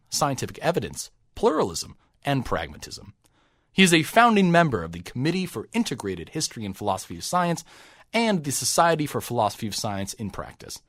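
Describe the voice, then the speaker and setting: A man's voice: mid-range voice, no room sound, studio quality. A man hosting a podcast, introducing and interviewing a guest. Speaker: podcast host. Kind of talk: scripted intro and interview questions.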